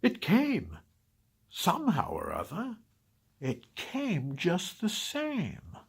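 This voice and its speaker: A man's voice: high voice, huge pitch swings, slow pace, creaky, slightly rough, full character voice. A man voicing a grumpy, scheming Christmas-hating creature in a dramatic storybook reading, sighing and dropping into whispers. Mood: wondering. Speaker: reader.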